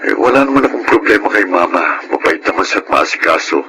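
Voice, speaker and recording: An actor playing an earnest, exasperated Filipino husband of thirty in a radio drama. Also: male voice, fairly low pitch, lively intonation, fast, very noisy. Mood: weary, regretful. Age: 30s. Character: frustrated thirty-year-old husband wanting independence from in-laws.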